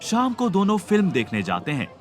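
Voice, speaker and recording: Animated male storyteller over music. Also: high voice, a little fast. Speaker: narrator. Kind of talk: scripted children's cartoon story narration. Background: background music.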